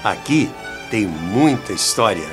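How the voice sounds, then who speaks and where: A Brazilian man hosting a history show, reading scripted narration in a deliberate, rhetorical way with dramatic phrasing. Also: fairly low pitch, very animated. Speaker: host of a radio history show. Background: music.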